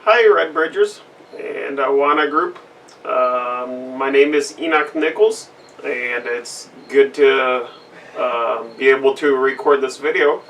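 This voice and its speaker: Gravelly-voiced guy from brazil telling his story indoors, earnest and halting, mic a little far off. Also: mid-range voice, fairly bright tone, slight background noise. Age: adult with a school-age daughter. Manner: earnest, halting, many fillers. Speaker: missionary kid giving a testimony. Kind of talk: recorded personal testimony. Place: indoor room with a flag behind.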